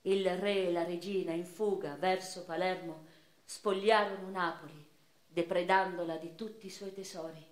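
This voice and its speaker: An actress playing a cultured, impassioned woman revolutionary and newspaper writer in a theatrical monologue, declamatory and literary, with deliberate pauses and emphatic swells. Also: on the low side, fairly even intonation, natural voice. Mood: solemn, bitter. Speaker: stage and radio actress. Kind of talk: dramatic first-person theatrical monologue. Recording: roomy, reverberant theatrical recording.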